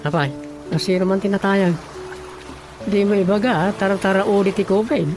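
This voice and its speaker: A man's voice: high-pitched, steady tone, normal speed, natural voice. A man with an Ilocano accent acting in a Filipino radio drama as a village man. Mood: concerned. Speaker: radio drama narrator and actor. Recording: music bed behind the voice.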